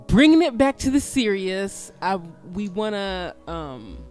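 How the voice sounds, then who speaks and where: A woman co-hosting a podcast with her husband, speaking softly and thoughtfully with a stutter. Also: medium pitch, very animated, unhurried, faint music behind. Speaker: podcast co-host. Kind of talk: casual chat between spouses.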